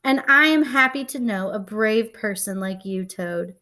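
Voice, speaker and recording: A woman's voice: medium pitch, unhurried, sharp tone. A woman voicing a friendly little frog in a children's storybook, earnest and simple, eager to seem brave.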